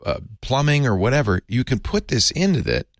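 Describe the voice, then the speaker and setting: A man co-hosting a podcast, chatting casually about software and explaining with asides and chuckles. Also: mid-range voice, big pitch swings, a little fast, dry recording, studio quality. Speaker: podcast co-host. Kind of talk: casual tech chat.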